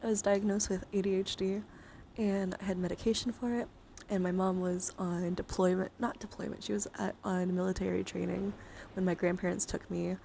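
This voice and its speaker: A woman with a mid-pitched voice hosts a casual ASMR stream. She talks in a playful, coaxing way and often slips between soft talk and breathy whispering. Noticeable ambient noise sits behind her voice. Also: little pitch movement, fairly quick. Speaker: livestream host. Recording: clearly audible background noise.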